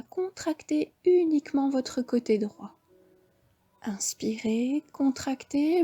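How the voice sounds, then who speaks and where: A woman with a French accent guiding a yoga nidra relaxation, giving slow, soft, half-whispered breathing cues. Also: fairly high pitch, slightly rough. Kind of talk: guided relaxation instruction.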